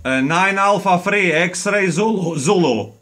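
A man's voice: high voice, slow pace, fairly bright tone. A man with a Croatian accent, calling as an amateur radio operator in a contest, spelling with the phonetic alphabet. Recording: noisy room with radio static. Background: radio receiver noise and clicks.

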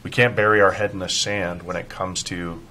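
A man with a low-pitched voice talks through his concerns as a board member. It is informal meeting speech in an indoor room, with faint noise behind it. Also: fairly even intonation, normal speed, pushed and bright. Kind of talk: informal discussion in a public meeting.

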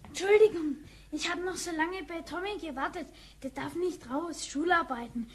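An eager young boy in a sci-fi radio play. The voice is very high, earnest and chattering. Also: normal speed. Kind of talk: German radio play acting.

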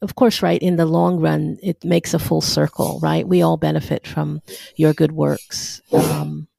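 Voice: medium pitch, level tone, measured pace, gravelly, dark tone, no room sound. A podcast host asking thoughtful questions and affirming the guest. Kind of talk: podcast interview.

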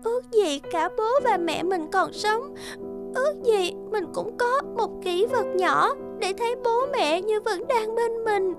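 A wistful high-voiced orphan girl. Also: animated, measured pace, over loud music, heavily acted. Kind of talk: children's cartoon dialogue.

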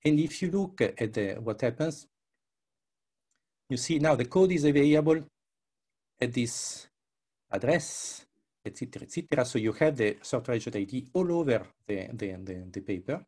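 An older man with a husky, creaky voice presents a software demo over a video call. He speaks English as a second language and often restarts or repeats his words as he explains. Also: medium pitch, animated, moderate pace. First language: not English. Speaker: researcher presenting his work. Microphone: headset.

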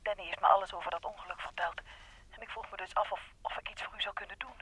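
A woman's voice: mid-range voice, fairly even intonation, fast, fairly bright tone, husky. An actress playing an earnest, emotional woman in an old Dutch radio mystery. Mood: grateful, earnest. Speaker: radio drama actor. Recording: noisy vintage radio recording.

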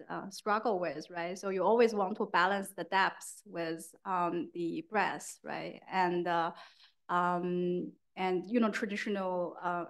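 A woman's voice: fairly low pitch, fairly bright tone. A woman giving conversational academic answers as a speaker, often checking in with short tag questions. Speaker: keynote speaker, communication professor. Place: university lecture room.

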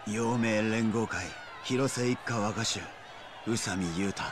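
A brash, low-voiced young gangster. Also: male voice, takes it slowly. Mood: defiant. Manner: brash, informal, defiant. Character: cocky, hot-headed young male yakuza underling. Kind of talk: video game cutscene acting. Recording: noisy crowd in the background.

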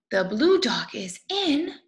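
A woman in her twenties reading a picture book aloud to young children in an expressive way, with drawn-out words. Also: sits high. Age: 20s. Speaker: storybook reader. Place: small room at home, indoors. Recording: echoey room.

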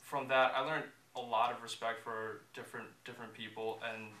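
A young man from Indonesia talks casually in an interview. His voice is bright and quite monotone, heard a little far from the mic in an echoey room. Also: mid-range voice, normal speed. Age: early 20s. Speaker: student interviewee. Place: small bare room indoors. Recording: echoey room, distant mic, faint noise.

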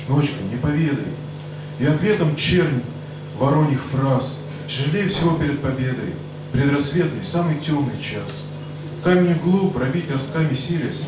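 Young man declaiming verse on a club stage, heavy room echo, mic sound from afar. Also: medium pitch. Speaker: poet reading his own poem. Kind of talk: poetry recitation. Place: stage of a rock cafe. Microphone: handheld microphone. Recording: very echoey club room, far mic, noise.

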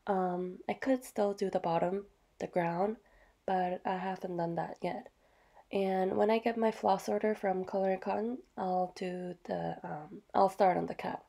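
Female vlogger, mellow and a little gravelly, slightly hoarse from a cold, chatty show-and-tell voice-over. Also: medium pitch, steady tone, a little slow. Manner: soft, chatty, with fillers and small apologies. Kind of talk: casual show-and-tell narration.

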